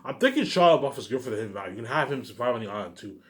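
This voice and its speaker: A man's voice: medium pitch, animated, racing, fairly bright tone. A man talking casually in a rambling, repetitive monologue, musing aloud like a vlogger. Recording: noisy amateur recording.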